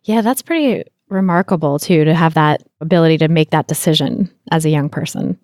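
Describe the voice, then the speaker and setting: A woman hosting an interview podcast, with a notably low voice and a calm, affirming manner. She responds warmly to her guest's points before asking the next question. The recording is close and dry. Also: fairly quick, no room sound. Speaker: podcast host. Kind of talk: conversational interviewing.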